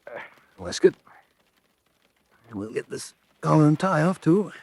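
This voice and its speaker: A man's voice: a little high, sing-song, unhurried, a little mellow, husky, dry recording, exaggerated voice. A man playing a resourceful, obliging fugitive who passes as a roadmender in a radio drama. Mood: easygoing, brisk. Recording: noisy ambient background.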